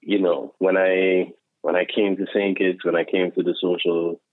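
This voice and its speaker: A deep-voiced man talks in an earnest, self-assured way, with lots of fillers. He comes through a tinny, phone-like line. The sound is dry, with no room echo. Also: flat delivery, moderate pace. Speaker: guest, social worker. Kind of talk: casual podcast chat.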